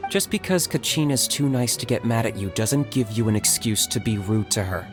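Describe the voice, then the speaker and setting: Young male warrior, played by an actor: mid-pitched, serious, terse, protective, music behind. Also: animated, normal speed, natural voice. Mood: firm. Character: stern, level-headed young man warrior, blunt, protective. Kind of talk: anime game voice acting.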